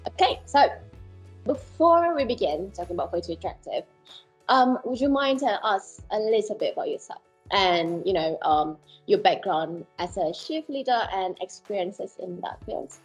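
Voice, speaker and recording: A woman's voice: mid-range voice, sing-song, moderate pace, slightly rough, soft background music, low-quality recording. A woman hosting a podcast interview, asking questions casually with frequent ums. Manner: hesitant, frequent fillers. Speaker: podcast host.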